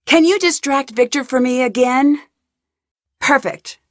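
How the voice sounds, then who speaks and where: A young woman detective in a game, polite and curious, presses people with earnest questions. Her voice sits a little high. The studio recording is dry and close, with no room sound. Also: a little slow, dry recording. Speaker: voice actor. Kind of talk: game voice lines. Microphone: studio mic, dry.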